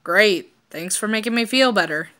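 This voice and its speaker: A woman's voice: mid-range voice, moderate pace, pushed and bright, natural voice. Woman reading as dry, teasing young man. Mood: deadpan sarcasm.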